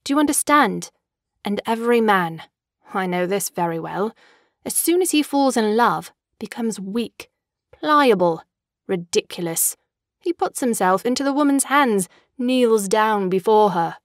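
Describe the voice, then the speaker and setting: A woman's voice: mid-range voice, animated, a little slow, no echo, clean studio sound. An actress playing a fickle, imperious young woman in an audio drama, poised and articulate, with a mocking manner. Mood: assertive.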